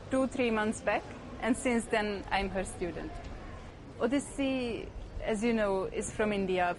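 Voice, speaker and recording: Woman, noisy ambience. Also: mid-range voice. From Hungary. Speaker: dance student. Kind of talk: documentary interview.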